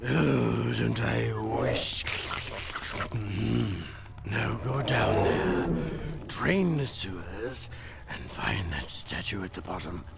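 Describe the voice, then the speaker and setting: An actor playing an oily, scheming crime boss in a game cutscene, drawling and savoring every word with unctuous, gloating airs. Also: male voice, lowish voice, extremely slow, creaky, exaggerated voice. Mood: theatrical, wistful. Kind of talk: video game cutscene acting.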